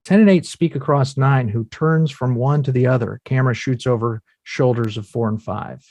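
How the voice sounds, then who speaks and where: Narrator: male, mid-pitched, scripted stage-direction reading, very dry recording. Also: normal speed, a little mellow, dry recording.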